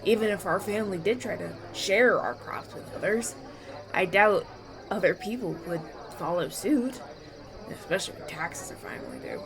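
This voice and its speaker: Mann spielt nervösen, lebhaften jungen Bauernburschen. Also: male voice, high voice, lively intonation, normal speed, creaky. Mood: hesitant, earnest. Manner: hesitant, earnest, stammering. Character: polite, nervous young human farm boy at elven banquet. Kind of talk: fantasy audio roleplay acting. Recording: banquet crowd chatter behind.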